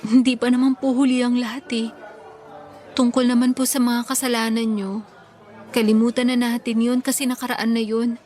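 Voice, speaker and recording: A woman playing a calm forgiving daughter. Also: on the high side, normal speed, close to an everyday voice. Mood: gentle. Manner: calm, gentle, reassuring. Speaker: eldest daughter. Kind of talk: Tagalog radio drama acting. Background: soft background music.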